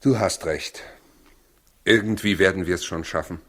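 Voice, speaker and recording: An actor in a 1960s German radio play, playing Joseph as a brooding, earnest, humble new father with a husky voice. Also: deep voice, normal speed, very noisy, close to an everyday voice. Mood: troubled, brooding.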